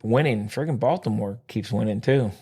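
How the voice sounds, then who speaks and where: A man co-hosting a podcast, chatting casually about baseball in a lively, joking, teasing way. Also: on the low side, normal speed, slightly rough. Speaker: podcast co-host. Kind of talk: casual sports chat.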